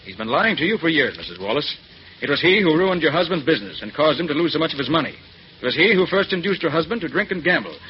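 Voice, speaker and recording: A confident male detective from 1940s radio, laying out a case with theatrical authority. He talks fast with lively swings of pitch, on a muffled old recording. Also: slight background noise. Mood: firm, accusing. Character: confident male master detective exposing a villain.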